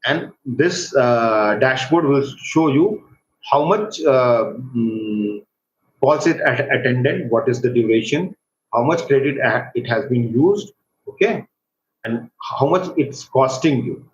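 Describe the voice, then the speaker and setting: A man with an Indian accent gives an informal live software tutorial as an online instructor, speaking in a halting, hesitant way. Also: mid-range voice, lively intonation. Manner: halting, hesitant delivery with repeated check words. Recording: roomy echo, dull lo-fi microphone, faint noise.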